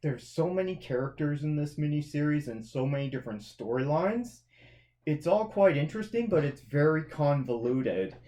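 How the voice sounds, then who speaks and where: A man's voice: on the high side, animated, normal speed, mellow. A man from Canada talks through his movie and TV picks in a loose, chatty podcast review. He rambles and corrects himself mid-sentence, circling back to finish his thoughts. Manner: rambling, self-correcting, chatty with frequent restarts. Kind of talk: casual review chat.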